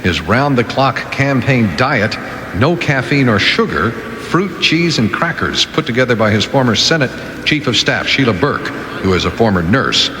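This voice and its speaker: A man's voice: mid-range voice, very animated, moderate pace. A man reading a scripted radio news bulletin. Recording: echoey, reverberant, faint background noise.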